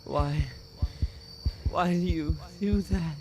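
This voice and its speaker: A man playing an obsessive stalker boyfriend in a dramatic roleplay audio, halting and pleading, with murmured endearments and nervous chuckles. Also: sits high, noisy background. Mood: panicked, pleading. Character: obsessive secret yandere stalker boyfriend, tender turning desperate.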